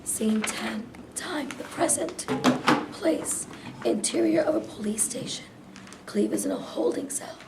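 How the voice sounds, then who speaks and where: A woman's voice: on the high side, measured pace. A woman reading stage directions as scripted narration at a staged play reading. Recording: echoey room from afar, clear noise.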